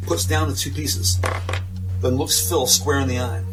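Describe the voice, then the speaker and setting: A man's voice: medium pitch, steady tone, unhurried, far from the mic, low-quality recording. A man reading scripted dramatic narration as the narrator of an audio drama. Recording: music bed behind.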